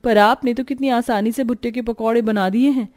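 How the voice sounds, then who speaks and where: A woman's voice: a little high, fairly even intonation, a little fast, a little mellow, natural voice. A woman voicing a hopeful, persuasive young sister-in-law in a cartoon story, earnest and upbeat. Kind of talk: cartoon story character dialogue.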